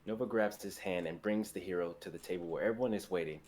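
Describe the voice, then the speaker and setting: A man's voice: low-pitched, fast, a little mellow, a little hiss or hum. A young man reading scripted dramatic fantasy narration for a livestream. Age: young adult.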